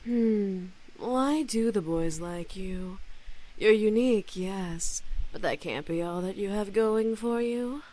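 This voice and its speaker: A woman's voice: mid-range voice, mellow, close to an everyday voice. A woman playing a seductive, condescending succubus demon in a visual novel, with a haughty, teasing manner. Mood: teasing, sly. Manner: teasing, haughty, drawn-out taunting delivery.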